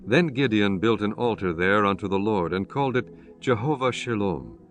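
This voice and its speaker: A man narrating dramatized scripture as an audiobook, in a solemn, measured storytelling manner. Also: fairly low pitch, expressive, soft background music.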